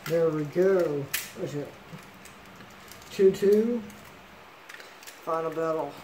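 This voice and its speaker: A man hosting a home video of toy battles, chatting casually and calling out the scores in short, relaxed bursts. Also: fairly high pitch, slow pace, slight background noise, poor audio. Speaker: beyblade battle host. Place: indoors, at a table with a stadium.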